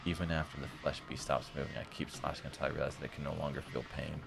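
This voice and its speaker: Man, game streamer, bottom-of-the-range voice, heavy vocal fry, dramatic reading, slangy offhand comments. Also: extremely low pitch, fairly even intonation, fast. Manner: dramatic read-aloud broken by blunt, slangy reactions. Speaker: streamer playing a visual novel. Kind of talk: reading game text aloud with casual asides. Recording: loud music behind the voice. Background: game music.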